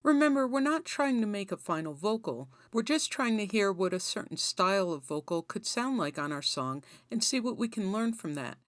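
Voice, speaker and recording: A woman's voice: medium pitch, lively intonation, moderate pace, few pauses, a little hiss or hum. A woman hosting a tutorial, explaining things in a conversational, instructional way. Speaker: host of songwriting tutorial.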